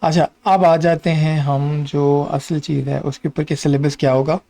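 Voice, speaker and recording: A man's voice: a little high, flat delivery, moderate pace, gravelly, warm tone. A man with a Pakistani accent giving an informal, instructive explainer, mixing in many English terms as he walks through details. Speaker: host of an exam and job guidance channel.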